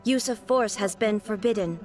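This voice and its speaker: A woman's voice: mid-range voice, normal speed, music in the background, natural voice. A woman voicing an emotionless, obedient puppet ruler in a video game, speaking in a terse, solemn, formal manner. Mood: cold, detached.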